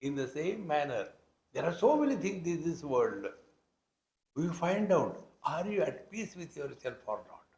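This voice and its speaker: A man's voice: a little high, very animated, unhurried, a little mellow. A man with an Indian accent giving a spiritual discourse as a teacher, explaining scripture in a conversational yet emphatic way. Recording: roomy, echoing hall sound.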